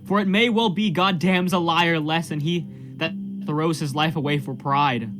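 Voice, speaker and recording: A man's voice: on the high side, steady tone, normal speed, over music, lightly acted. A man playing an anguished, guilt-ridden minister in a radio play, pleading fervently in formal, archaic period language. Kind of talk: period stage drama acting in a radio play.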